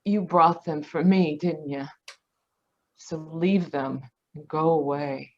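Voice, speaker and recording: A woman playing a weary, slow, low-voiced noir dame. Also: fairly even intonation, full character voice. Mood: guarded, weary. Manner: listless, guarded. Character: weary, sickly, resigned woman in radio noir. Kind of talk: 1940s radio drama acting. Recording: muffled video-call audio.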